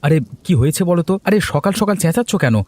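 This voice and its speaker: A man's voice: a little high, animated, very fast, a little mellow, no echo. A man playing a lazy, grumbling husband. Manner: grumbling, complaining. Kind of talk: cartoon voice acting.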